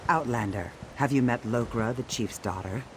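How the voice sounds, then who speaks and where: A blunt, brooding orc villager acted by a man speaks in a mid-pitched male voice. It is fantasy game dialogue, played quietly with faint noise behind it. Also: moderate pace, natural voice, low-quality recording. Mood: grim, matter-of-fact.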